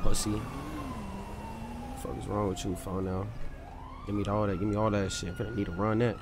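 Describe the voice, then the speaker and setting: A man's voice: fairly low pitch, level tone, moderate pace. Slangy young American man, noisy mic. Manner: slangy, profane, confrontational trash talk. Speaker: gamer streaming a roleplay game. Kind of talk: casual in-character game banter.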